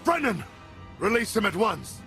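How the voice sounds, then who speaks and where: An actor playing a scheming, menacing crime lord and zealot in a video game, with a grandiose, eloquent, theatrical delivery. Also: male voice, high-pitched, big pitch swings, a little slow, vocal fry, pushed and bright, faint music behind, heavily acted. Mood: angry alarm. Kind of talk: video game cutscene acting.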